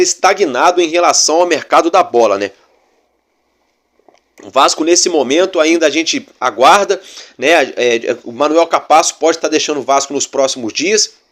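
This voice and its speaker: A Brazilian man hosts his own fan channel and talks through club news in a loose, chatty flow. His voice sits a little higher than most men's, and he keeps coming back to the same friendly fillers as he goes. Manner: chatty, repeats fillers like "né" and "galera". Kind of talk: casual football fan commentary.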